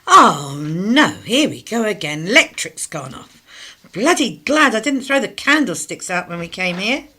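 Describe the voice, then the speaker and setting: An actress playing a weary, sarcastic 1950s British working-class wife in a radio drama, with a clipped, irritable manner. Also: female voice, medium pitch, big pitch swings, unhurried, pushed and bright.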